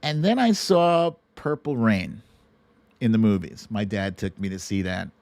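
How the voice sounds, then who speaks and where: A middle-aged American man hosting a show, talking casually and emphatically in short, deliberate phrases as he gives commentary and tells stories. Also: lowish voice, expressive, vocal fry, a little mellow, no echo. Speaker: show host. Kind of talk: casual monologue, commentary and storytelling on a livestream.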